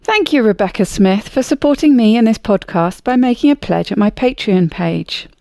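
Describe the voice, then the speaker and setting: An upbeat, friendly woman hosts a podcast, reading her intro and chatting easily. Her voice has a gravelly fry at its edges. The sound is dry and close, with no room around it. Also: fairly high pitch, animated, moderate pace, a little mellow, no echo. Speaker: podcast host. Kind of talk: scripted intro, then casual interview chat.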